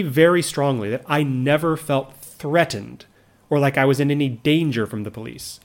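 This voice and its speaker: A man in his thirties, an English speaker, talking earnestly and reflectively about his own experiences, with frequent restarts and asides. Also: on the high side. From United States. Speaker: vlogger living abroad. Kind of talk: reflective personal monologue.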